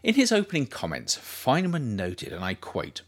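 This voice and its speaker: A man reading scripted nonfiction narration as an author would, in a measured way with expressive emphasis on key phrases. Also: medium pitch, breathy edge. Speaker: author reading their own book, narrator.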